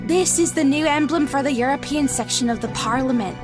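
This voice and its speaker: A woman performs a secret organisation's official in an audio drama. Her voice is high and businesslike, set over clear background music. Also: brisk, lightly acted.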